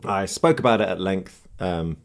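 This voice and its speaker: A man's voice: sits low, moderate pace, gravelly. A man in his thirties with a Manchester accent, chatting casually on a podcast and laughing often. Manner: laughs often, self-deprecating. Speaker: podcast co-host.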